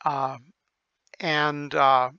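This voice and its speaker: A man with a fairly high, bright voice walks through technical material very slowly and methodically, pausing to think aloud. The sound is close and dry, though a little dull and lo-fi. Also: flat delivery, clear, resonant tone, dry recording. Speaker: electronics repair hobbyist presenter. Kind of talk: informal technical explanation of a circuit diagram.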